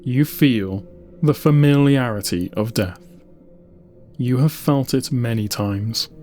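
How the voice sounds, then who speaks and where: A man giving a solemn, deliberate audiobook narration of science-fiction lore, with dramatic pauses between short phrases. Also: mid-range voice, a little mellow. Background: music.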